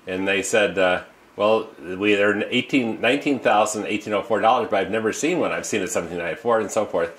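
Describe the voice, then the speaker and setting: Older man, lowish voice, rambling, lots of fillers, seated in an office. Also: fairly bright tone. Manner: rambling, with many uh fillers and restarts. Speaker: coin dealer and auctioneer. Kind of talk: informal storytelling to camera. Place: office with desk and leather chair, indoors. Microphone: fixed camera, seated at desk.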